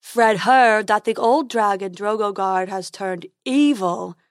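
A woman voicing a silly, stubborn male unicorn who speaks slowly and emphatically. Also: mid-range voice, dry recording, clean studio sound. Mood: eager. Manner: slow, emphatic, talks about himself by name.

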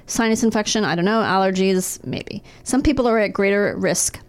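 A woman's voice: medium pitch, normal speed. A woman in casual chat reading medical information aloud with wry, profane asides, recovering from nose and throat surgery.

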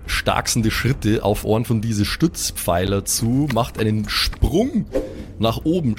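A man's voice: lowish voice, a little hiss or hum. A man, narrating an improvised tabletop game as game master.

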